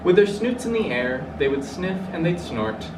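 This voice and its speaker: A man's voice: high voice, very animated, moderate pace. A young man reading a rhyming children's storybook aloud. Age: young adult. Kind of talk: storybook read-aloud in rhyme. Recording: roomy, distant mic, clearly noisy.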